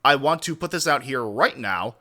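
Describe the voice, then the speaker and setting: A man's voice: medium pitch, animated, normal speed, sharp tone. A man hosting a reaction stream with casual, wordy commentary and mildly formal, roundabout turns of phrase.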